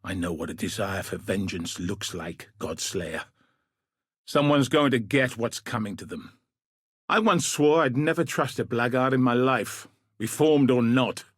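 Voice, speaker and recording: Gruff husky war vet commander for a video game, grave n world-weary, measured delivery, super dry close sound. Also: male voice, mid-range voice, no room sound. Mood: guarded, grim. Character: weary, battle-scarred veteran commander, gruff, grave and plain-spoken. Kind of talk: video game character dialogue.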